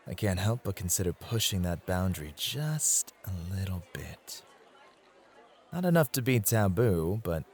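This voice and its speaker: A suave club host acted by a man speaks low and very unhurriedly, with pitch that moves around a lot. He is flirtatious and possessive, teasing and intimate, in the style of an ASMR roleplay. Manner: teasing, flirtatious, intimate address with drawn-out phrasing.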